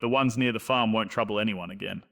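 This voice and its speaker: Game protagonist: man acting a plain-spoken male adventurer, lowish and crisp-edged, direct and terse. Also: quick pace, sharp tone. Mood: firm, businesslike. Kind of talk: video game quest dialogue.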